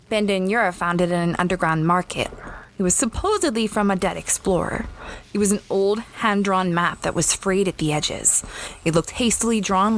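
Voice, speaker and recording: A woman's voice: mid-range voice, slight background noise, close to an everyday voice. A woman playing an easygoing space explorer in an audio drama, with a casual, mild, musing delivery. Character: easygoing, peacekeeping female space explorer recalling past trips. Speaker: lead character and narrator. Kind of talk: audio drama narration and dialogue.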